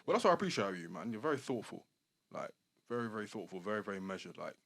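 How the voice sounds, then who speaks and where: Male podcast host, casual and encouraging, low voice, quick delivery. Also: big pitch swings, vocal fry, fairly bright tone. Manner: laid-back slangy, encouraging.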